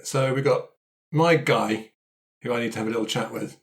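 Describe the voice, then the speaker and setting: A man's voice: mid-range voice, moderate pace, lightly acted. A man improvising a cocky, vengeful adventurer in a roleplay, speaking in a blunt, offhand, matey way. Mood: vengeful, confident. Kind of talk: improvised roleplay for an actual-play podcast.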